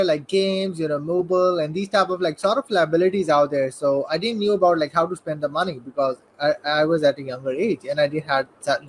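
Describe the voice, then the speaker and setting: A young man in his early twenties, from Saudi Arabia, speaks in a high voice as a guest on an online show. He rambles with eager energy, leaning on "like" and "you know", and a faint hum of room ambience sits behind him. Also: rough recording. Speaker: guest entrepreneur. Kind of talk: casual interview talk.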